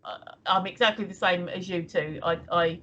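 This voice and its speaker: A woman's voice: on the low side, steady tone, moderate pace, fairly bright tone. Blunt hesitant woman in her fifties. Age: 50s. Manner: blunt, hesitant starts, mild swearing. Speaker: livestream co-host. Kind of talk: casual panel chat. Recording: dull lo-fi audio with faint noise.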